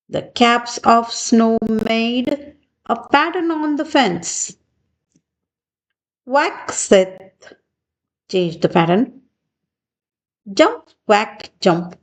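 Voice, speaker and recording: A woman reading a picture book aloud for young children in deliberate, measured short phrases. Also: mid-range voice. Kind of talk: read-aloud storybook narration for young children.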